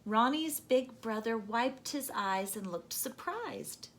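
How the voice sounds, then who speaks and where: A woman reading a children's storybook aloud in a gentle, deliberate, expressive narration. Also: a little high.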